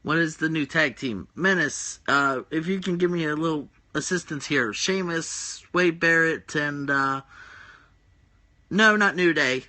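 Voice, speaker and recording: A man's voice: fairly high pitch, a little slow, pushed and bright. A man with a groggy voice hosting a casual livestream, rambling with many fillers and answering viewers' comments. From West Virginia. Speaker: host of a wrestling predictions stream.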